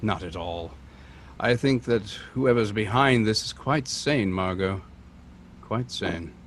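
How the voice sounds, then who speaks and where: An actor playing a composed, refined gentleman sleuth in an old-time radio drama, speaking formally. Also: lowish voice, slow pace. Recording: noisy vehicle ambience behind.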